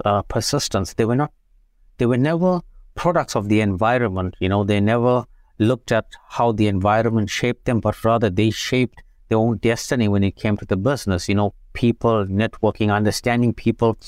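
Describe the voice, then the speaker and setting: A man in his forties, from South Africa, recounts his path into photography in an easygoing, conversational way, circling back with fillers like "you know" and repeated words. His voice sits low and sounds dry, close to the mic, with little room around it. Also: a little mellow, clear, resonant tone, dry recording. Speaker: guest, photographer and business owner. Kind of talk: casual interview storytelling.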